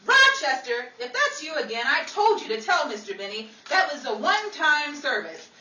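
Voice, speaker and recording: A woman's voice: high voice, big pitch swings, moderate pace, sharp tone, exaggerated voice. A woman playing a sassy, easily scandalized lady in a 1940s-style radio comedy, with theatrical, exclamatory delivery. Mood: indignant, startled. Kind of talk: 1940s old-time radio comedy acting. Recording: echoey, distant, noisy live room with crowd.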